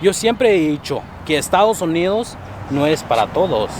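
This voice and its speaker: A man's voice: on the high side, sing-song, normal speed, noisy background. A man hosting a casual vlog, mixing Spanish and English and laughing often as he talks. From abroad, came to the US at fifteen. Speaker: vlog host. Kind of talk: casual street interview chat.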